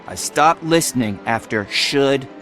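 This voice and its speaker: A man's voice: medium pitch, lively intonation, a little slow, fairly bright tone, soft background music. An actor playing a cheeky, cynical truant teenage boy in a video game, sarcastic and dismissive. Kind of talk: video game cutscene acting.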